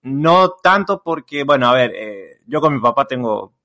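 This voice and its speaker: A man's voice: medium pitch, animated, normal speed, sharp tone. Rambling young Argentine man. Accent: Argentine Spanish. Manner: rambling, many fillers and restarts. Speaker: content creator guest. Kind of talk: casual interview chat.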